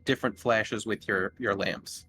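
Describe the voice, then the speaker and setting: A man acting as game master, describing scenes for a tabletop horror game in a halting, improvised way. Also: fairly low pitch, steady tone, pushed and bright.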